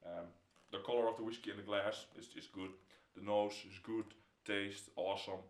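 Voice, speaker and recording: Young Dutch-accented guy, lowish voice, relaxed home whiskey review, camera mic in a roomy-sounding room. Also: normal speed. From Netherlands. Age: young adult. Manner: relaxed, repeats words, drops to a whisper while tasting. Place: indoor home room, seated in armchair.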